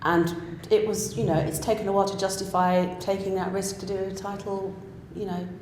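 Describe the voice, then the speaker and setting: A woman's voice: medium pitch, level tone, brisk, a little mellow. A middle-aged British woman who writes and directs pantomime, speaking in an interview in a reflective way with many restarts. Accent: British English. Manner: reflective, with restarts and self-questioning. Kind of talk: interview chat. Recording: echoey room with faint noise.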